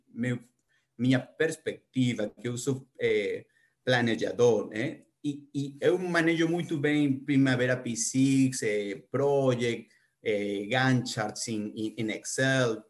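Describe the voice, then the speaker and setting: A middle-aged man with a Spanish accent, Spanish his first language, giving a conference talk in Portuguese as a project planner, halting with many restarts and fillers. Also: medium pitch. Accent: Spanish-speaking. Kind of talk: conference presentation.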